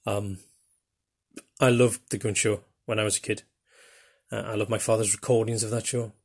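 An older man from northeast England speaks with a broad Geordie accent and a low, gravelly voice. He talks in a loose, self-deprecating way, full of fillers and restarts, as he hosts his own small podcast. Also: level tone, moderate pace. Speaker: podcast host. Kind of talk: casual monologue.

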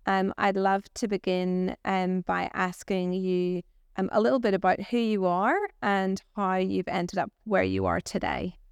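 A woman's voice: mid-range voice, level tone, unhurried, creaky, smooth. Woman in her forties hosting a podcast. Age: 40s. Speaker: podcast host, family doctor. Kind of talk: scripted podcast intro, then interview.

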